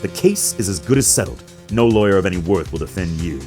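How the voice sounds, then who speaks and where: A man's voice: sits low, lively intonation, fast, warm tone, faint music behind. A man voicing a pompous, manipulative businessman villain in a video game dub, with a theatrical, wordy delivery. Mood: haughty, threatening.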